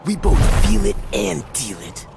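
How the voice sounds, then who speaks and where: A brash young superhero for a video game, full of wisecracks. The delivery swings up and down with a creaky edge, over music. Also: male voice, high voice, wildly swooping pitch, a little slow, exaggerated voice. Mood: cocky. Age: young adult. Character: cocky young male superhero who wields nuclear fire. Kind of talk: video game character dialogue. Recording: game audio with music behind.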